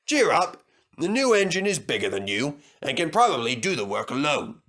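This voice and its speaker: A genial gentleman railway boss acted by a man reading a storybook, slightly raised in pitch and lively in tune. He speaks with easy authority. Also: normal speed. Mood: kindly, encouraging. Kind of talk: children's storybook character voice.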